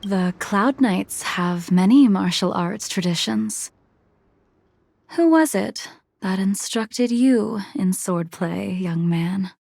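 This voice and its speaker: Game dub role: aloof swordswoman, unhurried, gravelly creak, formal and cryptic. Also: medium pitch, a little mellow, no room sound, clean studio sound. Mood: composed, appraising. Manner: measured, formal, composed. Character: calm, aloof blind swordswoman, cryptic and knowing. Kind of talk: English video game dub acting.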